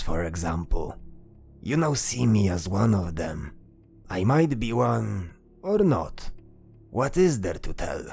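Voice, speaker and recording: A man voicing a gentle old monster uncle who talks soothingly to a child in a fantasy audio drama, with a husky voice. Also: on the low side, full character voice. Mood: grave, musing. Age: older. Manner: soothing, reassuring, speaks slowly to a child. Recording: music behind the voice.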